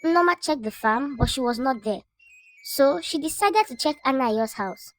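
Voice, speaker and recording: A woman storyteller narrates a scripted folktale. Her voice is high in pitch. She delivers it in a measured, dramatic manner. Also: animated.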